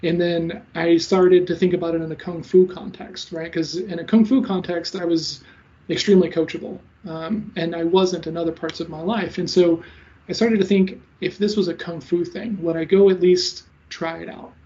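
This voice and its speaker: A man in his thirties who talks fast and stutters a little, giving casual interview answers as a sales coach. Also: a little high, flat delivery, warm tone. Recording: slightly dull lo-fi audio.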